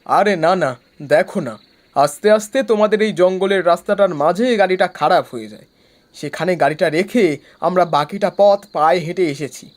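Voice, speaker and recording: A brash young man in an animated horror story, played by an actor. He talks in a bossy, mocking way, like the scolding leader of a group of friends. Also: medium pitch, brisk, natural voice. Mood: explaining. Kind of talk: animated horror cartoon dialogue.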